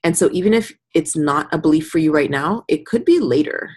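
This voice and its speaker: A woman's voice: low-pitched, animated, fairly quick, darkish tone, husky. A woman mentoring a business group on a call, talking informally and encouragingly and often acting out little example remarks. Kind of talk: informal coaching talk on a group review call.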